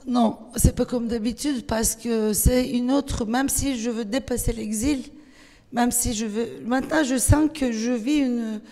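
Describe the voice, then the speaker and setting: Une femme à l'accent turc, hésitante et animée, dans une salle résonnante. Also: female voice, fairly high pitch, a little slow. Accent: Turkish. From Turkey. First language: Turkish. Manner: hesitant, many restarts and fillers, animated storytelling. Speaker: panel guest, writer. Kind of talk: panel discussion. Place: festival hall. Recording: long hall reverb.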